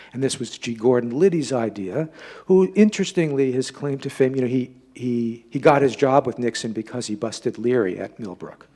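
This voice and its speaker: A man with a slightly husky voice giving an informal public lecture, halting as he repeats and rephrases himself. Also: mid-range voice, a little mellow. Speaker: lecturer giving a talk and taking audience questions.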